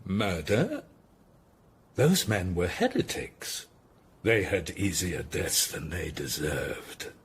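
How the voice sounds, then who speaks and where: Tudor radio drama: menacing, sardonic male statesman, very raspy, slow and expressive. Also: sits low, full character voice. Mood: cold menace. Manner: deliberate, threatening. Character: menacing, sardonic Tudor royal secretary, ruthless older statesman.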